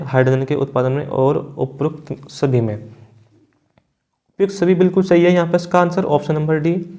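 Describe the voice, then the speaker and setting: A male exam-prep teacher with a fairly high-pitched voice. He reads out quiz questions and options, then states the answers in an instructional manner. He often drops in short tags to check that students follow. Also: a little mellow.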